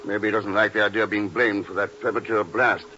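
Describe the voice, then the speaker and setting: An actor playing an unflappable secret agent in 1950s radio drama, clipped, wry and commanding. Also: male voice, lowish voice, fairly even intonation, fairly quick, pushed and bright. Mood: cool, firm. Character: unflappable secret agent, brisk, blunt American-style radio hero. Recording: muffled 1950s radio recording, faint hiss.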